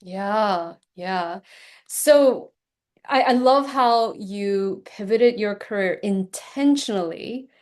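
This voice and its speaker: A woman hosting an interview, born in Korea with Korean as her first language, talking in a lively way and often laughing. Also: medium pitch, slow. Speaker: podcast host, executive coach. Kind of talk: conversational interview.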